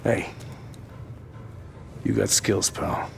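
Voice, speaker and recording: An actor playing a middle-aged New police captain in a video game, blunt, streetwise and skeptical. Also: male voice, drawn-out words, slight background noise. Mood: relieved, approving. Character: gruff, skeptical New York police captain, grudgingly warm. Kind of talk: video game cutscene acting.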